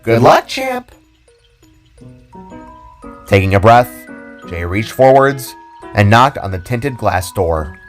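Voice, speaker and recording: A man's voice: fairly low pitch, lively intonation, unhurried. A man reading scripted fiction as the storyteller, narrating the scenes and characters. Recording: background music under the voice. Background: music.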